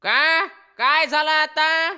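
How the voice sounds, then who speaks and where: A woman voicing an old, sly jackal king in a children's animated fable, drawing out words deliberately. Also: high voice, soft background music, heavily acted. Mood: feigning patience. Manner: slow, drawn-out, deliberate. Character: old jackal king, slow, sly, feigning frailty and fairness.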